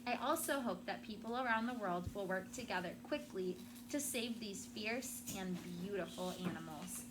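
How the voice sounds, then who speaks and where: A woman's voice: medium pitch, animated, moderate pace, noisy background. A woman schoolteacher reading aloud and talking to children in a classroom, explaining in simple words and checking in with questions.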